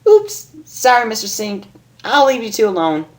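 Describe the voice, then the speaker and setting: A man's voice: high-pitched, huge pitch swings, moderate pace, voice pushed up. A man voicing a bouncy, teasing female cartoon pony in a playful story reading. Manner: playful, singsong.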